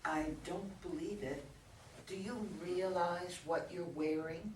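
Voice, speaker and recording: A woman's voice: on the low side, steady tone, slow pace, darkish tone. A woman playing a haughty, disapproving woman in a staged play reading. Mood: indignant. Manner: pointed, disapproving. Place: echoey room at a live reading. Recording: echoey room, distant mic, faint noise.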